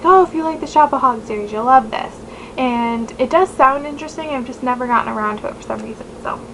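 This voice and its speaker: A woman's voice: high voice, vocal fry. Nasal chatty young woman, noisy recording. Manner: chatty, rambling, many fillers. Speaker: book vlogger. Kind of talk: casual chat to camera.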